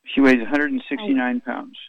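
A man hosting a radio health call-in show, telling rambling stories and firing brisk questions at callers. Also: mid-range voice, fairly even intonation, no room sound, lo-fi sound.

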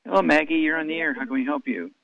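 Man hosting a radio call-in, rambling, brisk questions, dry and slightly dull sound. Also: a little high, vocal fry, fairly bright tone, dry recording. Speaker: radio show host. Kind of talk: radio call-in health advice.

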